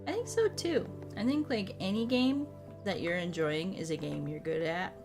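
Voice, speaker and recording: A woman's voice: fairly low pitch, animated, normal speed. A woman chatting casually to viewers on a gaming stream, rambling, repeating herself and swearing freely. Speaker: live streamer. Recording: background music under the voice. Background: music.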